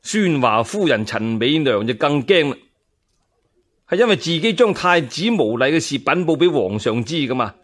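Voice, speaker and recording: A man telling a dramatized traditional tale in Cantonese, colloquial and expressive, with asides to his listeners. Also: fairly high pitch, normal speed. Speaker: solo storyteller. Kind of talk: traditional Chinese radio storytelling, dramatized narration.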